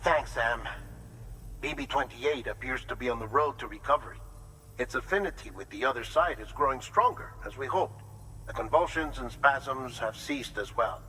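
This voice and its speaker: An actor playing an earnest researcher who gives an encouraging update in a game cutscene. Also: male voice, mid-range voice, normal speed, bright, forceful tone, a little hiss or hum, lightly acted, rough recording. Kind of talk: video game cutscene acting.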